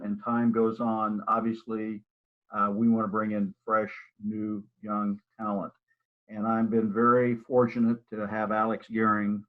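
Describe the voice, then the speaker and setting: An older man, a real estate broker, talking casually in a live video-call interview, rambling with many restarts and fillers. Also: on the low side. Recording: tinny phone-like audio.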